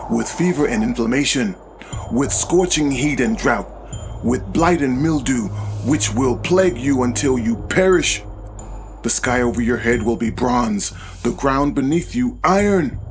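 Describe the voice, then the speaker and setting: Solemn male Bible narrator over music. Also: mid-range voice. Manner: solemn, declamatory. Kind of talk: dramatized scripture reading. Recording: music behind the voice. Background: background music.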